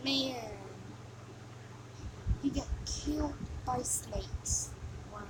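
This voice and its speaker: A woman voicing a mocking, sneering demon who taunts the player in amateur video game voice lines. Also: high-pitched. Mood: bored, exasperated contempt. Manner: taunting, scornful, drawn-out sighing delivery. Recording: noisy amateur home recording.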